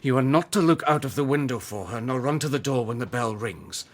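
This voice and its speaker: A man's voice: medium pitch, lively intonation, fairly quick, natural voice. An actor playing a stern, unforgiving father in a radio drama, speaking with solemn command. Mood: grave, stern. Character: stern, unforgiving father forbidding his daughter's name.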